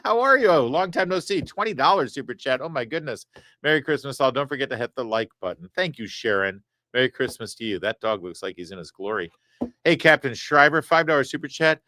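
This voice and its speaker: Male stream host, mid-pitched, wry and chatty, dry close studio sound. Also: sharp tone, no room sound. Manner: chatty, wry, quick asides. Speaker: talk show host reading viewer super chats. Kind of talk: casual live-stream chat. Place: studio set.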